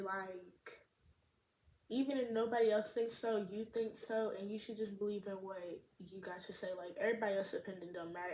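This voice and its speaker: A teenage girl with a slight lisp, chatting casually and rambling in slang as a vlogger, recorded on a tablet. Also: mid-range voice. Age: teens. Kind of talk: casual chat to camera. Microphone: tablet camera mic. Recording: echoey room, faint noise.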